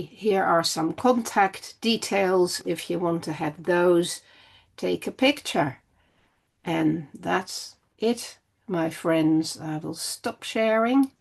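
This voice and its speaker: An older woman closing a philosophy workshop on a video call, speaking in a calm, encouraging way. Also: fairly low pitch, gravelly. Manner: slow, calm, encouraging delivery. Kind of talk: closing a lecture, then leading Q&A. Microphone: online video call.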